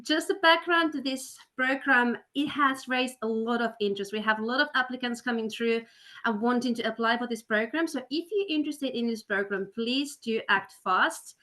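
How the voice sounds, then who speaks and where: A woman's voice: on the high side, moderate pace, sharp tone. A woman hosting an education webinar, upbeat and persuasive, with frequent fillers. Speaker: webinar host, study counselor. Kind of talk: webinar presentation. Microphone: video call.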